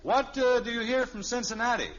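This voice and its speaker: A blustering, high-pitched American sitcom dad. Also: male voice, brisk, a bit bright. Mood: sly, probing. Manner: gruff, indignant, emphatic. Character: blustering, stubborn suburban father. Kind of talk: 1950s radio sitcom acting. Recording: muffled, noisy old radio recording.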